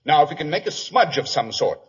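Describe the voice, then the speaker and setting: A muffled old radio recording of an imperious British detective. He talks very quickly in a rough, husky voice, clipped and coolly assured, in 1940s drama style. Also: on the high side, very animated, fairly bright tone, exaggerated voice. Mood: urgent, commanding.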